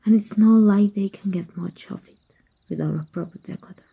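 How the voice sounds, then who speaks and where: Woman playing a dark, husky, wry exiled sorceress teacher. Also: mid-range voice. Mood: resigned. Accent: Mexican. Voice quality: soft, breathy, husky. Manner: wry, unhurried, intimate, addressing a former student. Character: evil magic teacher, exiled female heretic, wry and fond. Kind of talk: ASMR roleplay.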